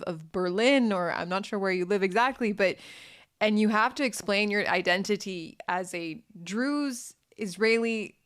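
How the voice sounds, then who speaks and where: A woman's voice: mid-range voice, clear, resonant tone. A woman hosting an interview podcast, asking thoughtful questions with hesitant, stammered restarts. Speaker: podcast host.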